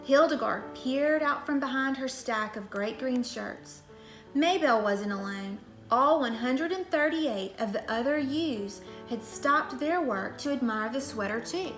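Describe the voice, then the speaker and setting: A woman's voice: sits high, lively intonation, a little slow, fairly bright tone, roomy sound, faint music behind. A woman reading a children's storybook aloud in a scripted narration style. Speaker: story reader.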